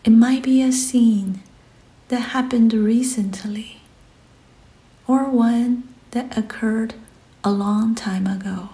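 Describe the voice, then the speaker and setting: A woman leading a scripted guided meditation in a soft, breathy, often near-whispered voice, gentle and soothing, with pauses between short phrases. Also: a little high, fairly even intonation.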